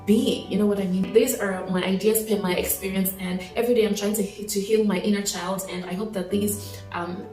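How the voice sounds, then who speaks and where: A woman's voice: mid-range voice, steady tone, brisk, faint music behind. Earnest woman in an echoey room. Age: late 20s. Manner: earnest and heartfelt, with soft laughs and many restarts. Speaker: vlogger. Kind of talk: personal reflective talk to camera.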